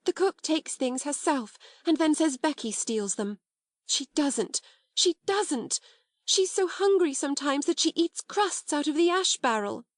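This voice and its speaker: A woman's voice: a little high, expressive, moderate pace, no room sound, clean studio sound, pitched above the performer's own voice, close to an everyday voice. A woman voicing a brave, spirited young schoolgirl who speaks earnestly. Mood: indignant. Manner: earnest, fierce when stirred.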